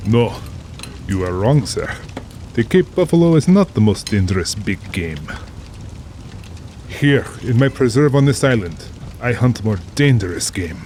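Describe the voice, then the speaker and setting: A man's voice: lowish voice, very animated, a little slow, long pauses, a little mellow, slight background noise. A man playing a cultured, proud general and big-game hunter in an audio drama, speaking formally and boastfully with polished self-assurance. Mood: proud, intense. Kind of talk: full-cast audio drama acting.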